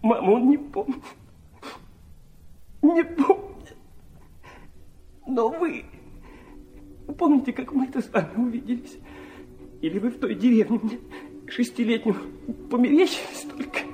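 An actor playing a proud, wounded and defiant young son in a theatrical audio drama, bitter and impulsive. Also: male voice, extremely high pitch, a little slow, long pauses, warm tone. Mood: agitated, defiant. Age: young adult. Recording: faint noise and ambience behind.

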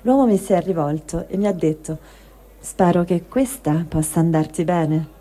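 A woman's voice: on the low side, normal speed, mellow. A woman giving a dramatic reading of a first-person written testimony. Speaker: reader of a translated testimony.

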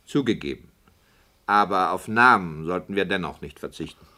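A bright, skeptical director. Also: male voice, sits low, normal speed. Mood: critical. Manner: formal, critical, expressive. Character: skeptical, formal male radio director.

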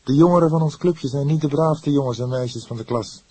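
A man voicing an indignant young male suspect in an audiobook, loud and heated. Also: medium pitch, brisk, husky, no room sound, pitched above the performer's own voice, lightly acted. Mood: defiant.

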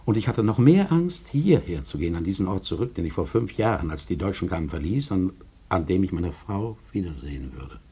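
An actor playing a courteous, haunted returned emigrant in a German radio drama, speaking deliberately and theatrically. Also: male voice, low voice, very animated, warm tone, slightly rough, full character voice. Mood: confessional, uneasy.

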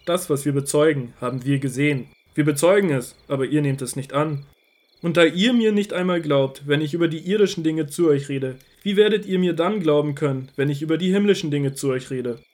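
A man acting as an earnest male teacher in a biblical audio drama. His voice is fairly high for a man. He speaks with authority, setting out each statement in a measured, emphatic way. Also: darkish tone, lightly acted. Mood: solemn, emphatic. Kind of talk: dramatized scripture audio play.

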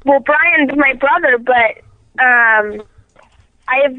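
A woman in a casual phone conversation, speaking in a hesitant, halting way. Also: sits high, dry recording. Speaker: prank call recipient. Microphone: telephone. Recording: thin, narrowband phone line.

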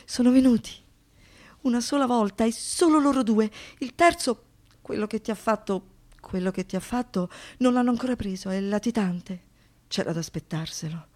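An actress playing a wounded, defiant young survivor in an intimate radio monologue, confiding and halting, with dramatic pauses. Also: female voice, on the high side, darkish tone, natural voice. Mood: indignant, confiding.

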